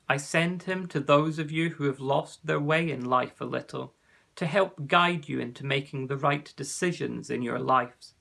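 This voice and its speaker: A man's voice: medium pitch, vocal fry, pushed and bright, exaggerated voice. A man voicing a wise, mysterious warrior guide in a storybook, solemn and commanding.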